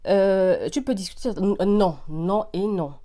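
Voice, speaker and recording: Woman, medium pitch, casual vlog host, emphatic, repetitive for insistence, English slang mixed in. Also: a little slow. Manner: animated, emphatic, repeats phrases for insistence. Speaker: vlog host giving opinions to viewers. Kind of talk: casual direct-to-viewer chat.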